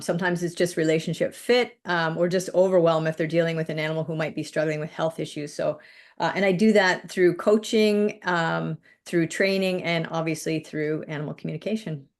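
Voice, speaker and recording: A woman from Canada introducing herself as a coach for people and their animals, steady, with some fillers. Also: low voice, moderate pace. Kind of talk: casual self-introduction.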